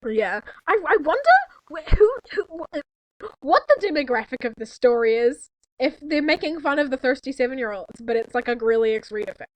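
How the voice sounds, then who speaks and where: High, animated teenage girl. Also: moderate pace, dry recording, rough recording. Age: teens. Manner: giggly, rambling, sarcastic asides. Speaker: co-host of a fanfiction reading. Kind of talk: casual chat with mocking read-aloud commentary.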